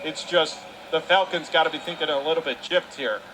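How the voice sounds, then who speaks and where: A man calling live ice hockey play-by-play on the radio in excitable, clipped phrases. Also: a little high, a little fast, noisy background. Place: commentary position at an indoor ice rink.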